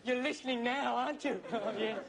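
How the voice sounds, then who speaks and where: A man's voice: very high-pitched, brisk, gravelly, crowd noise. A man performing in a sitcom, whining and ranting in a hysterical, melodramatic way. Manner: whiny, hysterical, melodramatic rants. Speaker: comedian in a sitcom. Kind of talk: scripted sitcom comedy. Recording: noisy, with loud studio audience laughter.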